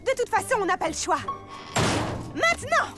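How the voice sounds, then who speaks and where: Very high-pitched cartoon heroine. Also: female voice, very animated, moderate pace. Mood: urgent. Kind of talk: animated cartoon acting. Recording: music behind the voice.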